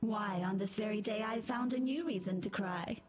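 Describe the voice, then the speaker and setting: An actress playing a mad, weepy, fragile queen in a video game, speaking in slow, disjointed riddles. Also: medium pitch, darkish tone, lightly acted. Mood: mournful. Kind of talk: video game character dialogue. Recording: music behind the voice.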